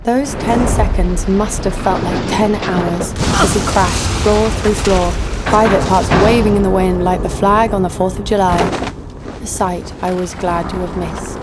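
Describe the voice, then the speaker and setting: A woman playing a jaded, sardonic killer who narrates her own story in a deadpan way for an audio drama. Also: mid-range voice, unhurried, loud music behind the voice, natural voice. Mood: wry, bitter. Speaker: narrator and lead actor. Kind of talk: first-person scripted narration.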